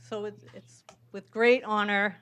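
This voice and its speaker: A woman presiding at a city board meeting, giving gentle, halting ceremonial remarks in a council chamber. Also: mid-range voice, level tone, clean tone.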